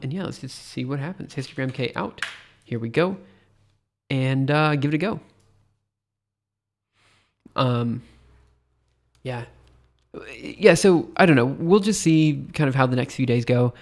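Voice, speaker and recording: A man's voice: medium pitch, darkish tone, a little hiss or hum. A tired man with a stuffy nose thinks aloud while live coding on a stream, rambling hesitantly and poking fun at himself. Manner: rambling, hesitant, self-deprecating.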